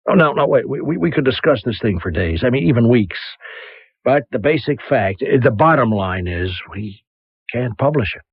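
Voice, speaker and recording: An actor playing an evasive, apologetic book publisher in an old-time radio mystery, hesitant and stammering, with a husky voice. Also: male voice, medium pitch, expressive, normal speed, no echo. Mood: pleading. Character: flustered, evasive businesslike male book publisher. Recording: dry, muffled old radio broadcast.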